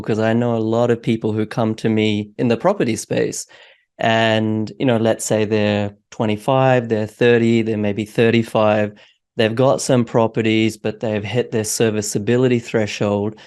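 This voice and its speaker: A man's voice: lowish voice, a little mellow. A man hosting a casual interview, talking with a friendly, slightly hesitant manner. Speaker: podcast host.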